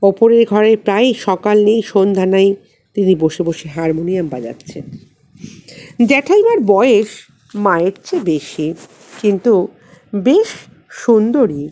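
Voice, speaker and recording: A woman reads a literary story as an audiobook narrator, in a measured, expressive way with deliberate pauses. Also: medium pitch.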